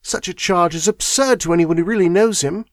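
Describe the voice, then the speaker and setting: A woman's voice: fairly low pitch, brisk, no echo, clean studio sound, pitched above the performer's own voice, lightly acted. A man voicing an earnest young woman who pleads insistently and with fierce loyalty. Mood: pleading.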